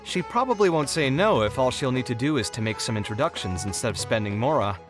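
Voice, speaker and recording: A man's voice: medium pitch, expressive, fast talker, close to an everyday voice. A man voicing a passionate young male architect in a video game, speaking expressively and with concern, over game music. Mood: scheming.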